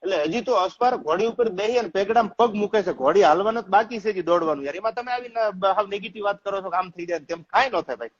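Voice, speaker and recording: A man with a high voice speaks in an impassioned, emphatic monologue. He talks quickly and informally. The recording is a little dull and lo-fi.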